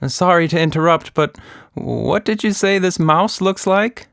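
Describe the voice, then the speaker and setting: A curious storybook girl, played by a man, with a voice raised well above a man's talk. She speaks politely and tentatively, with gentle hesitation. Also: sits high, lively intonation, measured pace, vocal fry, smooth, no room sound, studio quality, pitched above the performer's own voice, heavily acted.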